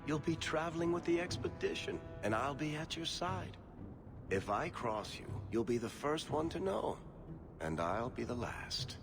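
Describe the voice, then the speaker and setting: An actor playing a wry, smooth-talking dwarf rogue and dealmaker in a video game, persuasive and glib. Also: male voice, a little high, sing-song, unhurried. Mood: earnest persuasion. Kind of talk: video game cutscene dialogue. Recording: music behind the voice. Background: background music.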